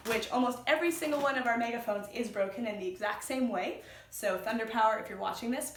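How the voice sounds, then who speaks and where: Echoey, distant woman giving informal instructions. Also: mid-range voice. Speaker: instructor, activist. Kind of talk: informal how-to demonstration, explaining step by step. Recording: roomy echo, distant mic, background noise.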